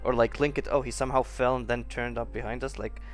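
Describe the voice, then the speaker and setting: A man giving casual, improvised gaming commentary as a streamer, sceptical and halting as he thinks aloud. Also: mid-range voice, steady tone, faint music behind. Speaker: gaming streamer reacting to a playthrough.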